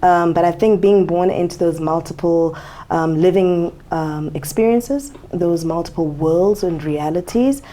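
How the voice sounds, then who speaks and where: A woman from Zambia talks as a guest on an interview show. Her voice is somewhat low and mellow. She speaks reflectively and expressively, with frequent fillers. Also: a little slow.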